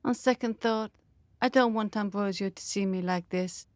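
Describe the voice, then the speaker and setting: A woman's voice: medium pitch, normal speed, no room sound, clean studio sound, close to an everyday voice. An actress playing a headstrong young noblewoman in a radio drama, with earnest, dramatic delivery. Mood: concerned, curious.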